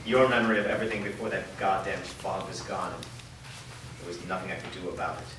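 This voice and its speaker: A man's voice: sits low, little pitch movement, quick pace, close to an everyday voice. A man acting a weary, guilt-ridden blacksmith father in a staged fantasy drama reading. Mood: bitter grief. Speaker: actor. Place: echoey room, recorded from a distance at a staged reading. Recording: echoey room, distant mic, clear background noise.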